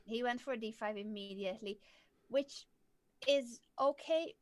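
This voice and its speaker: A woman's voice: a little high, measured pace, a crowd in the background. A woman from southern Hungary, patiently explaining chess moves as a coach on a live stream. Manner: clear, patient explaining, laughs easily.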